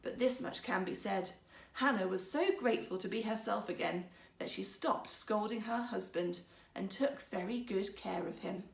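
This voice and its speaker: A woman's voice: medium pitch, moderate pace, creaky, recorded from a distance. A woman storyteller reading a fairytale aloud in a theatrical style. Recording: roomy, echoing sound.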